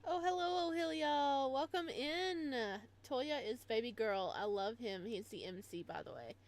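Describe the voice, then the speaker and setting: A woman's voice: high voice, measured pace. A woman live-streaming a game in a casual style, reading on-screen text aloud and adding chatty asides.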